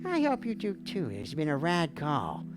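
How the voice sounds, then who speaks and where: A woman hosting a livestream call-in show as a mock therapist, dreamy, theatrical and goofy, with a gravelly voice. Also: deep voice, wildly swooping pitch, moderate pace. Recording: music playing behind the voice. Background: background music.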